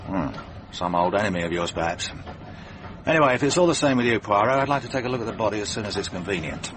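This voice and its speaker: Actor as a low-voiced, blunt English police inspector. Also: male voice, lively intonation, quick pace, lightly acted. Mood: dry, matter-of-fact. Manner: colloquial, dry, businesslike. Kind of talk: radio drama acting. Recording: noisy radio drama ambience.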